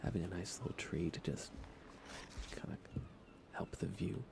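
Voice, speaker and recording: Male ASMR streamer, soft half-whisper, gravelly fry, low-key casual chat, unhurried, noticeable background noise. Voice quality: soft, breathy, often whispery. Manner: hushed, unhurried, relaxed delivery with pauses. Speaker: ASMR livestreamer.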